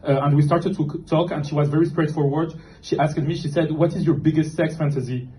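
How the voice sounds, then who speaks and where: A young man delivers a self-deprecating stand-up set on a club stage, dry and deadpan, stumbling over fillers. His voice sits a touch high for a man, and the recording carries a clear layer of background noise with audience laughter. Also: a little fast, low-quality recording. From Morocco. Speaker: stand-up comedian. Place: comedy club with live audience.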